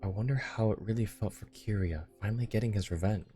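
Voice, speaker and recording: Chill guy streaming a game, really low voice, casual, soft game music in back. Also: fast talker. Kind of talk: casual live-stream chat and read-aloud game dialogue.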